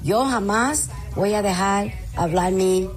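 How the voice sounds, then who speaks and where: A woman's voice: mid-range voice, takes it slowly, soft background music. A woman from Puerto Rico calling in, speaking proudly and emphatically. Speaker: caller.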